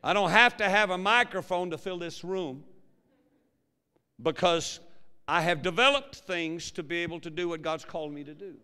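A pastor preaching a sermon with a big, projecting voice that swings from quiet low musing to loud fervent preaching. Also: male voice, moderate pace, clean tone. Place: large church hall. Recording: long hall reverb.

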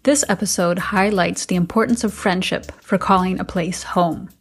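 A woman's voice: lowish voice, fairly even intonation, moderate pace, slightly rough, soft background music. A middle-aged woman with an American accent, narrating a personal podcast as its host from a prepared script. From United States.

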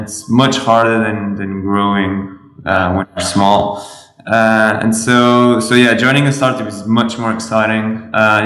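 A man answers interview questions, stopping and restarting his sentences often. His voice sits on the lower side. The room around him is noticeably echoey. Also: fairly bright tone. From Portugal. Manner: halting, with many restarts. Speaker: podcast guest. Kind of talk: interview conversation.

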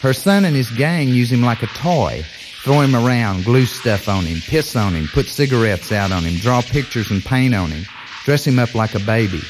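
A man narrating an audio story like a film pitch, in offhand, clipped fragments. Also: lively intonation, moderate pace, over music.

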